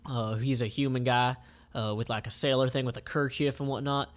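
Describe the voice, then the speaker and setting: A man informally describing comic panels aloud as their creator, with halting, improvised phrasing. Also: medium pitch, fairly even intonation.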